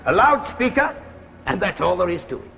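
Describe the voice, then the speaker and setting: A man's voice: high voice, sing-song, a little fast, vocal fry, soft background music, heavily acted. An actor playing an older retired physics professor, a smug eccentric inventor who savors his pompous phrases, in 1950s radio drama style. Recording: dull old radio recording, long reverb.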